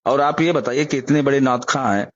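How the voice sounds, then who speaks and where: A man's voice: a little fast, fairly bright tone. A man interviewing by phone for a news report, putting pointed, indignant questions. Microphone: phone call. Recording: dull low-fidelity phone call recording.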